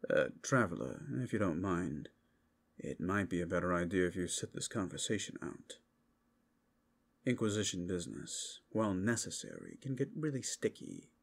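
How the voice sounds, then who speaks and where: A man voicing a flirtatious, sly male werewolf in an ASMR roleplay, with teasing, drawn-out asides. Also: sits low, very animated, creaky, breathy edge, full character voice. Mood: casual, cautioning.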